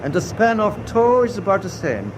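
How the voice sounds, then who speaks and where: High-voiced dutiful U-boat officer, played by a man. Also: very animated, moderate pace, noisy background. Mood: attentive. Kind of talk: radio drama acting.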